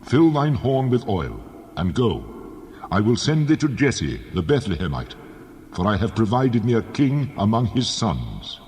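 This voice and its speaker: Majestic voice of God, played by a man, solemn, commanding, with very expressive pitch swings and hall reverb. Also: measured pace, breathy edge, exaggerated voice. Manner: authoritative, solemn. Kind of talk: dramatized scripture reading. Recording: long hall reverb, faint noise.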